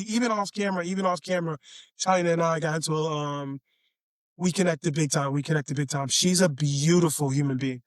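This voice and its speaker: A man from Chicago with a raspy voice, a podcast guest telling stories in a laid-back, playful way and often repeating his phrases. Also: sits high, no room sound, studio quality. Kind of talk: casual storytelling chat.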